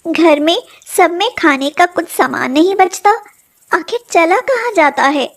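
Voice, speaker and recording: An exasperated middle-aged mother-in-law performed by a woman narrator. Her voice is high and deliberate, full of puzzled questions and household scolding in a family storybook style. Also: expressive, exaggerated voice. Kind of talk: animated moral story acting.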